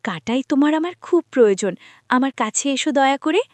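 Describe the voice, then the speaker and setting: A woman's voice: sits high, animated, a little fast, a little mellow, dry recording. A woman voicing a meek, sweet, hardworking girl in a cartoon, polite and pleading. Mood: obedient, polite. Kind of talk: cartoon voice acting.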